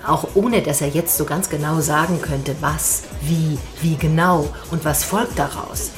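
Casting: an abstract, circling thinker, slightly low register, radio play with soft music. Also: female voice, steady tone, measured pace. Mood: musing. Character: musing woman in rambling intellectual bar talk. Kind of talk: stylized radio drama monologue.

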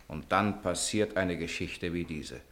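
Courteous gentleman writer in old radio play, deep voice, earnest and eloquent. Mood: earnest, determined. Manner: urbane, eloquent, measured. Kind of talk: 1950s German radio drama acting.

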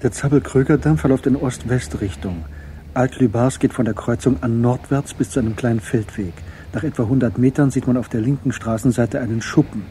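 A man narrating a radio crime drama in a measured, matter-of-fact way as its storyteller. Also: mid-range voice, dark tone, a little hiss or hum, lightly acted.

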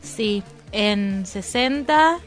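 A woman's voice: mid-range voice, expressive, very slow. A woman with an Argentine Rioplatense accent co-hosting a radio show, chatting casually in brief, agreeable remarks. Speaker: radio co-host. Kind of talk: casual chat. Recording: background music. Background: music.

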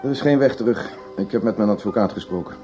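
A man's voice: on the low side, fast talker, music in the background, close to an everyday voice. A man playing an earnest, troubled journalist in a Dutch radio play, serious and defensive in manner. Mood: grave, determined. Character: Adam, earnest, troubled male broadcast journalist with marital trouble. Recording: muffled, dull radio play recording.

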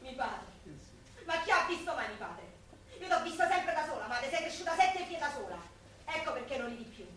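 Brash, high-voiced Roman daughter, echoey. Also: female voice, sing-song, a little fast, fairly bright tone. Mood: bitter, defiant. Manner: brash, argumentative. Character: outspoken, ambitious working-class daughter in wartime Italy. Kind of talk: stage-style radio play acting in dialect. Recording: echoey room, distant mic, faint noise.

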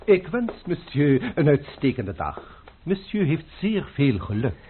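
A man's voice: fairly high pitch, big pitch swings, a little slow, noisy background. An actor playing a proud, haughty restaurant owner and chef who insists ceremoniously on serving his dishes. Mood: pompous. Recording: tinny old radio recording.

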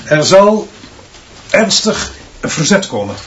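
An actor in a radio drama playing a merciless, authoritarian school director who speaks in clipped pronouncements. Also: male voice, high-pitched, big pitch swings, slow pace, lo-fi sound, a little hiss or hum, full character voice. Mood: forceful, grim. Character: stern, dictatorial male headmaster, unbending and merciless. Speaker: school director addressing his teaching staff.

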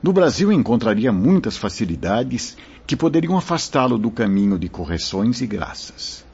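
Husky, solemn wise spirit mentor. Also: male voice, medium pitch, big pitch swings, darkish tone, full character voice. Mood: solemn, benevolent. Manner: solemn, formal, measured explaining. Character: wise, kindly male spirit mentor at an institute. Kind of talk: radio drama acting, declamatory. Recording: muffled lo-fi radio drama recording.

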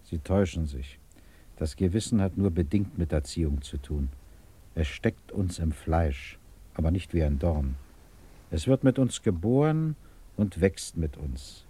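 Radio drama role: patient, morally earnest male inquisitor, deep and mellow with a rough grain, measured delivery. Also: animated, heavily acted. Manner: measured, formal, deliberate. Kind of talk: German radio drama dialogue.

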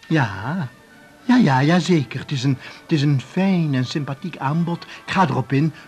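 Wanhopige, hese verslaafde ex-huisarts. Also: male voice, a little high, animated, normal speed, darkish tone, husky, faint music behind. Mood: wheedling. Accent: Netherlands Dutch. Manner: wheedling, agitated, stammering repetitions. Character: desperate morphine-addicted former family doctor, pleading and scheming. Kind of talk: Dutch radio drama acting.

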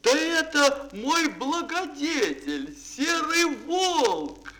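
A man's voice: extremely high pitch, very animated, drawn-out words, bright, forceful tone, voice pushed up, exaggerated voice. A man voicing a joyful young fairy-tale prince, exclaiming in a declamatory audio-story manner. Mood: overjoyed.

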